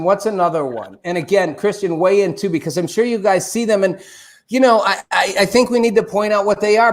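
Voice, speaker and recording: A middle-aged man hosting a live online show from a home studio, chatty and animated, with loose fillers. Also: high voice. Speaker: host. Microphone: desk studio mic.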